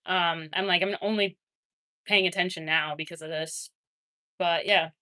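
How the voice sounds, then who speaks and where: Bright-voiced young woman chatting on stream. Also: fairly low pitch, moderate pace. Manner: chatty, laughs often, swings between excited and quiet. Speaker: livestreamer reading chat. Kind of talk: casual livestream chat.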